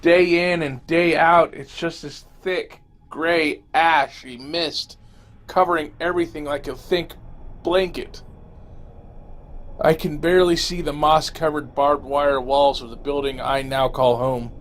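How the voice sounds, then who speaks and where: A man reading a scripted first-person horror story as an audio drama narrator, grave and dramatic with deliberate phrasing. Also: a little high, fairly even intonation, a bit bright. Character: male survivor recounting a zombie fungus apocalypse. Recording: amateur narration over music. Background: music.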